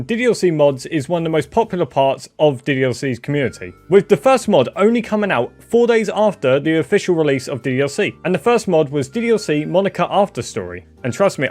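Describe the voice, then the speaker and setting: A man with a slightly high voice gives casual guest commentary. He is informal and jokey, swears freely and shares opinions, with music playing behind him. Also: sing-song, fast talker, few pauses, a little mellow. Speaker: guest video contributor.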